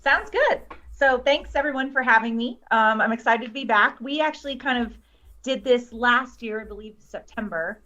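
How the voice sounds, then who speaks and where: A woman's voice: on the high side, moderate pace, pushed and bright. Woman chatting on slightly dull audio. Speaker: guest screenwriter. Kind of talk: casual explanatory chat.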